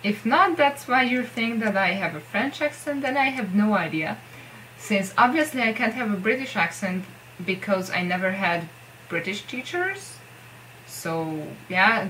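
A woman's voice: mid-range voice, lively intonation, a little slow, a bit bright, slight background noise, rough recording. A young Hungarian woman with a Hungarian accent, speaking English as a second language and chatting casually to camera in her bedroom, rambling with many filler words. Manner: rambling, lots of filler likes, self-deprecating. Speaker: vlogger. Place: bedroom with posters.

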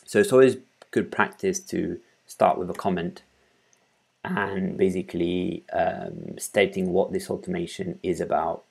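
A man in his thirties giving an informal step-by-step software tutorial, haltingly, thinking aloud as he works. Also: low-pitched, expressive, vocal fry, husky. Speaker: tutorial presenter. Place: small room at home, indoors.

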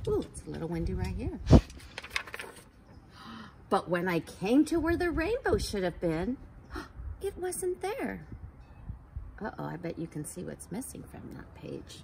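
A woman in her forties reads a children's picture book aloud on an outdoor patio. Her delivery is unhurried and very animated, rising and falling in a sing-song way as she sounds out letters and gasps for effect. A faint hum of outdoor air sits under her voice. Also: medium pitch, vocal fry. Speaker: storytime reader for children. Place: backyard patio outdoors by a wooden fence.

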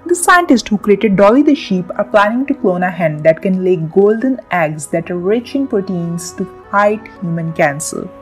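A woman hosting a science channel, reading a scripted explainer in a lively, emphatic way. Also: mid-range voice, moderate pace, hardly any pauses, faint music behind. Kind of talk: scripted educational narration. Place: voice-over with soft background music.

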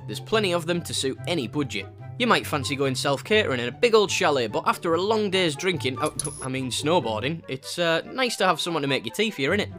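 A young man from northeast England with a northeast English accent, narrating a travel vlog voice-over with cheeky, jokey asides. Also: fairly high pitch. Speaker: travel vlogger. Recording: voice-over with clear music bed.

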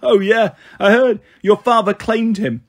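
A man voicing a smug, smooth-talking college-age villain in a storybook, with a taunting manner. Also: sits high, very animated, normal speed, pitched above the performer's own voice, exaggerated voice. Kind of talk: storybook character voice.

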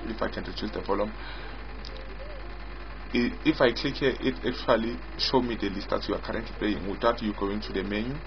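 A man giving an informal screen-recorded software demo as its developer, in a halting way, often repeating and rephrasing. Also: lowish voice, noisy background. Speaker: software developer demonstrating own app. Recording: dull lo-fi mic with prominent music.

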